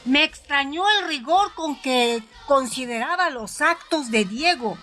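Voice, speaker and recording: High, bright-voiced woman narrating a story. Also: expressive, measured pace. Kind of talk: dramatized first-person audiobook narration. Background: music.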